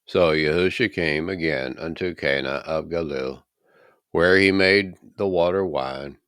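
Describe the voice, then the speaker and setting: A man reading scripture aloud in a solemn, measured and dramatic way, with deliberate pauses. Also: very deep voice, fairly bright tone, clear, resonant tone, no echo, studio quality. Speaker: scripture reader.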